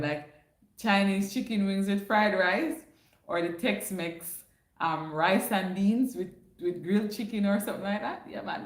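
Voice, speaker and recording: Woman, Jamaican accent, livestream host, casual Q&A, patois phrasing, mid pitch, echoey room. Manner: chatty, slips into patois phrasing. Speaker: livestream host answering chat. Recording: roomy echo.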